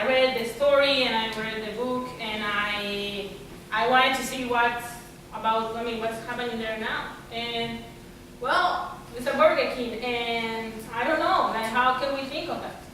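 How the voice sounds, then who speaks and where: A woman from Argentina talks through her art in a lecture hall, her voice bright and a little high. She pauses and hunts for words, and the recording is picked up from across a very echoey room. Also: steady tone, distant mic, a little hiss or hum. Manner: halting, searching for words, many fillers. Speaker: artist at a symposium. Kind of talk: reflective Q&A answer.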